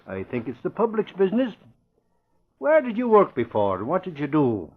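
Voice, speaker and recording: A brash city reporter who needles and pushes with sly questions. His voice sits a bit high and swings lively. The old broadcast sound is dull and lo-fi. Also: normal speed, husky, heavily acted. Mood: probing. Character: brash, nosy male city newspaper reporter. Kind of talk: 1940s radio acting.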